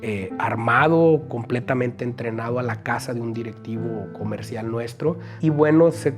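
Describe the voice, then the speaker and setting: A Mexican man speaks in a mid-range voice over clearly audible guitar music. He explains in an unscripted, measured interview style, laying out his account point by point and tagging it with short questioning checks. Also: medium pitch. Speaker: news organization director giving testimony.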